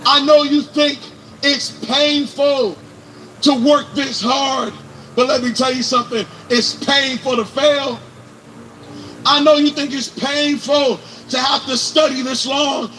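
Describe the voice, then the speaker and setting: A man giving an intense motivational speech to students, repeating phrases and building up to shouts. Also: very high-pitched, fairly even intonation, slow, a little hiss or hum, low-quality recording. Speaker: motivational speaker. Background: music.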